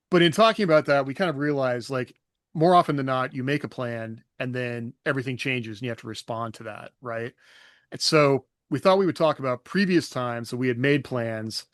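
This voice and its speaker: A man with a mid-pitched voice hosts a podcast, recorded close and dry with no room echo. He is easygoing and keeps the talk moving with questions. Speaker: podcast host. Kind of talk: casual panel chat, moderating.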